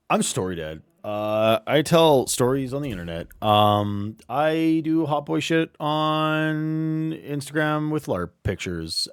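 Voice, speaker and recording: A lowish-voiced man hosts as game master and closes the stream with exuberant energy. He swears freely and calls out loudly to the others. Faint music sits behind him. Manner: exuberant, swearing, loud call-outs. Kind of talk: casual stream outro.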